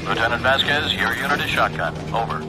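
An actor playing a businesslike military command operator, giving clipped radio dispatches in a video game. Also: male voice, medium pitch, brisk, lightly acted. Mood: urgent, procedural. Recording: loud music and battle noise behind.

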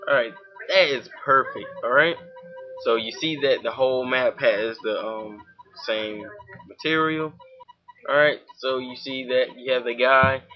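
A man's voice: medium pitch, a little slow. Southern-accented young man, casual home tutorial host, dull lo-fi desk mic, faint music behind. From Georgia, US. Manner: informal, many fillers, talks while clicking. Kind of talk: casual screen-recorded software tutorial. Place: home room at a computer.

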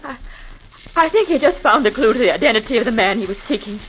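A woman's voice: on the high side, expressive, fast talker, lightly acted. A woman playing a frightened grieving widow. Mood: distraught. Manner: anxious, pleading. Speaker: victim's wife. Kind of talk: 1940s radio acting. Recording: dull old radio recording with faint hiss.

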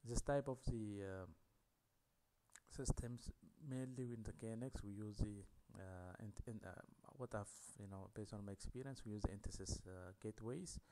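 Guy lecturing over slides, deep mellow voice, lots of uhs and restarts. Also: a little hiss or hum. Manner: halting, many fillers and restarts.

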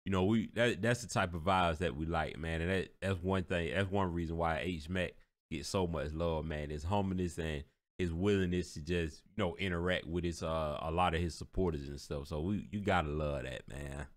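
A man's voice: deep voice, moderate pace. A man hosting a reaction video, talking casually and rambling a little as he praises what he watched. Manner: relaxed, rambling, repeats himself, admiring. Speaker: reaction channel host.

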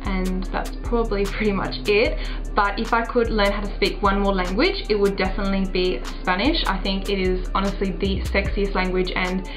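A woman's voice: mid-range voice, level tone, normal speed. Young Australian woman vlogging over music, Serbo-Croatian first language. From Adelaide, Australia. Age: early 20s. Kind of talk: casual chat to camera. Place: small furnished room at home, indoors.

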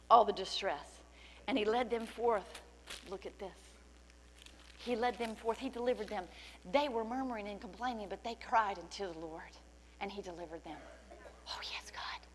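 A middle-aged woman pastor preaching a sermon with fervent praise and scripture reading, her voice slightly cracking from loudness. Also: medium pitch, normal speed, pauses often. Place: large church stage indoors. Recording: long hall reverb, faint hum.

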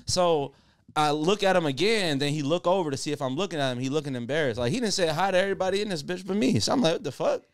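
A man with a fairly high, smooth voice co-hosts a podcast with slangy, joking stories. He often laughs through his words, and the sound is close and dry. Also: lively intonation, fast talker, dry recording. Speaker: podcast co-host. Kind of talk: casual comedic storytelling and banter. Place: small indoor podcast room, seated. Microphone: boom-arm studio microphone.

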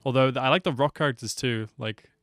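A young man in his twenties speaks into a close desk mic in a casual, slangy way, reacting as he watches. His pitch is middling, and game music plays faintly behind him. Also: fast, fairly bright tone, no echo. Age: 20s. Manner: slangy, laid-back, swearing. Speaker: reaction video host. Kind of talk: casual live reaction commentary. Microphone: close desk microphone with headset. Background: game trailer audio with music.

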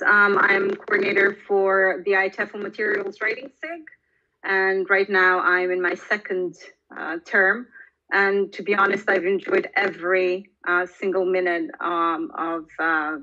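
A woman from Bosnia and Herzegovina in her forties, a longtime language teacher, answering in a podcast interview with many pauses and fillers. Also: fairly low pitch, pushed and bright, muffled recording.

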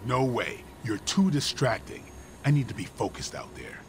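An actor playing a stern lone-wolf vampire hunter in a video game, curt and gruff in manner. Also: male voice, mid-range voice, big pitch swings, normal speed, slight background noise. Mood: stern, irritated. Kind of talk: video game cutscene acting.

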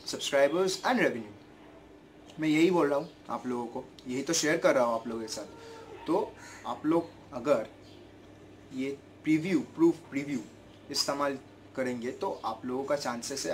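A man's voice: mid-range voice, slight background noise. A young man with a sore throat and a repetitive, earnest manner, giving tips to camera from his bedroom in a casual vlog. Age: young adult. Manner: earnest, repetitive, gestures a lot with his hands. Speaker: YouTuber giving channel-growth tips. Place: bedroom at home, indoors. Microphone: camera in front at desk distance.

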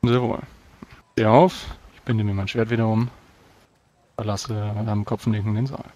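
A man's voice: on the low side, fairly even intonation, normal speed, mellow. A man playing a tabletop roleplaying game, speaking in solemn, formal in-character speech.

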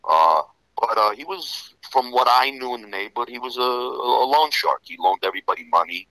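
Bright-voiced New York Italian-American man. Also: medium pitch, expressive, fairly quick, no room sound, low-quality recording. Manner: rambling, heavy with "you know" fillers. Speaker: interview guest. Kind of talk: casual storytelling interview.

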